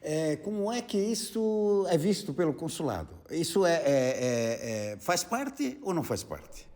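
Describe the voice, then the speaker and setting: A man's voice: on the high side, big pitch swings, measured pace, darkish tone. A man with a Brazilian accent, putting conversational questions as an interviewer.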